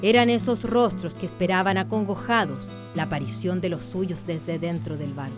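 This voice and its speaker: A woman's voice: fairly low pitch, normal speed. A woman narrating a scripted radio drama in a solemn storytelling manner. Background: faint music.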